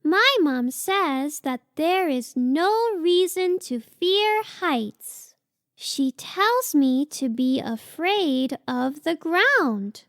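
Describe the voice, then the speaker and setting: A woman's voice: sits high, fairly bright tone, no echo, studio quality, exaggerated voice. A woman voicing a cute, earnest young female sloth baby in a children's picture book read-aloud, with simple, childlike phrasing. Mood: earnest, concerned.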